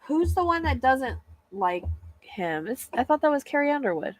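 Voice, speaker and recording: A woman's voice: high-pitched, very animated, normal speed, no echo. A woman hosting a casual live chat as a psychic medium, with a soothing voice and a chatty, hesitant way of talking full of fillers.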